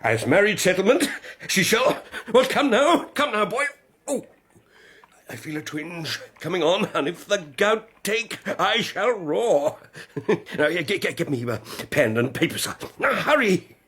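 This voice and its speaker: An actor playing a gruff, emotional old grandfather in a period radio drama, sputtering and repeating himself. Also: sits high, sing-song, a little slow, fairly bright tone, heavily acted. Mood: blustering, excited. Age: older.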